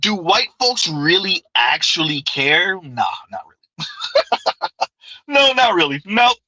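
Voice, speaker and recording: A man talks through viewer comments on his live stream in a loose, chatty way. His voice is sharp and forward, sitting a little high for a man. The sound is close and dry, with almost no room around it. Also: sing-song, slow pace, dry recording, poor audio. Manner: conversational, repeats "right?", laughs often.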